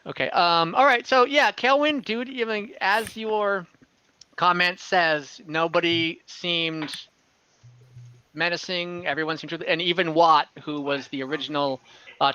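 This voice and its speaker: A woman runs a tabletop game in a forward, bright voice at a leisurely pace. She narrates informally, feeling her way through sentences and rewording them. The online call audio is a little dull. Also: on the low side. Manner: halting, informal, prone to rephrasing. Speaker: game master. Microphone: online group call.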